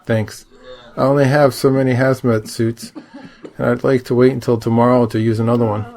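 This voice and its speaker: An actor playing a tired, kindly camp doctor in an audio drama, calm and plain-spoken. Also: male voice, medium pitch, a little fast, natural voice. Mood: weary, concerned.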